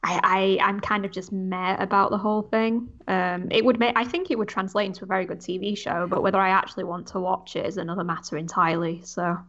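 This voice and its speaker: A woman's voice: mid-range voice, fairly even intonation, fast, few pauses, a bit bright. Woman on a slightly dull podcast mic. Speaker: podcast co-host. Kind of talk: casual chat.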